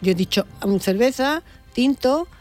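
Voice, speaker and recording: A woman's voice: on the high side, lively intonation, measured pace, a little mellow, soft background music. A woman hosting a radio show, chatty and playful with her guests. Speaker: radio host. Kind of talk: lively radio chat.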